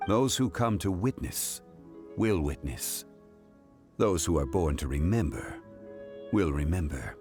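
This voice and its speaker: Calm ancient god, very low voice, formal, measured, faint music. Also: male voice. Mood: solemn. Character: ancient male god of contracts, calm and dignified. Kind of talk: video game dialogue. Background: soft game music.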